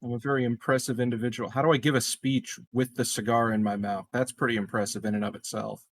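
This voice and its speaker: A man's voice: medium pitch, level tone, quick pace, no echo. Joking male gamer, dry close sound. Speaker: tabletop game player. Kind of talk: casual improvised game chat.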